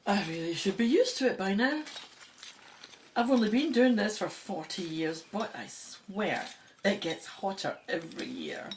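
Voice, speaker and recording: A woman's voice: mid-range voice, lively intonation, measured pace, a little hiss or hum, close to an everyday voice. A woman playing an older, gracious, commanding in a radio drama. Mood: gracious, keen. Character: seasoned woman Egyptologist, gracious, commanding team leader.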